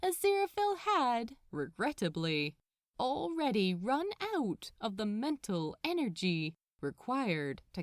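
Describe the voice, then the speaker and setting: Woman reading a story out loud, slow and really expressive, dry recording. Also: medium pitch, dry recording. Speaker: podfic narrator. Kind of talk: expressive scripted fiction narration.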